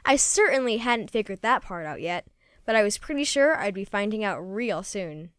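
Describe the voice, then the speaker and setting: A woman reading a children's story aloud in an expressive, folksy first-person storytelling manner. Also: medium pitch, normal speed, clean tone, no echo, studio quality. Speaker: storybook reader.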